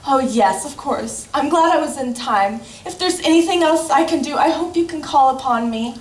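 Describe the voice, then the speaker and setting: Woman acting a high-voiced, kindly witness, echoey stage. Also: animated, moderate pace, a little mellow. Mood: concerned, obliging. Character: kindly, indignant female street witness. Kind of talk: stage radio play acting. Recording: echoey stage from far off, faint noise.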